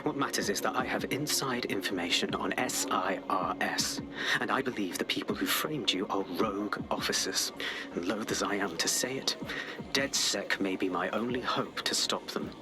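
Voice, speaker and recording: A man's voice: lowish voice, normal speed, music in the background, lightly acted. A man with a British accent playing a guarded, anxious intelligence analyst in a video game, formal and grave. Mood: secretive. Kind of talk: video game cutscene acting.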